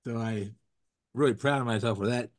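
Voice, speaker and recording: A man's voice: medium pitch, fairly quick, no echo, clean studio sound. Froggy man hosting a video call. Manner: rambling, restarts, corny puns. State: getting over a cold, froggy. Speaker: host. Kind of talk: casual chat with jokes.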